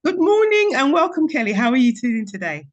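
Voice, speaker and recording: A woman speaks as a podcast host in a conversational interview. Her pitch moves around in an animated way and she talks at a quick clip, putting questions to her guest. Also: highs cut off, soft background music.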